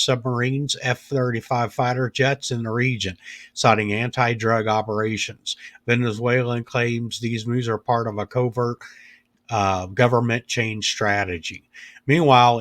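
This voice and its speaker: A man with a head cold reads a world news roundup in a raspy, mid-range voice. He delivers the headlines, then stumbles into loose, filler-heavy asides. The sound is close and dry, with no room around it. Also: mid-range voice, dry recording. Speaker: news channel host.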